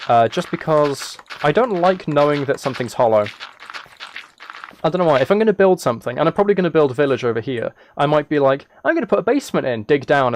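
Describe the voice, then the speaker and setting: A man chatting casually to viewers as he livestreams a game, playful and teasing, drifting into rambling asides. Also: mid-range voice. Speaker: livestreamer and host. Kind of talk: casual livestream chat while playing a video game. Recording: clear noise with key clicks and typing. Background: keyboard typing and clicks.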